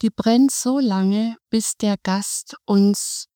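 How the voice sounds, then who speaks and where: A middle-aged woman speaks as a guest in a podcast interview, explaining things calmly and in measured steps. Her voice is mellow and warm. The recording is dry and close. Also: mid-range voice, dry recording. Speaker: hospice volunteer, guest.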